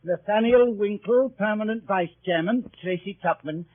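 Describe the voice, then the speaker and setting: Formal declaiming club officer, high voice, tinny old 30s radio. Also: male voice, normal speed. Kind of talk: 1930s radio acting. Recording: thin band-limited 1930s radio recording.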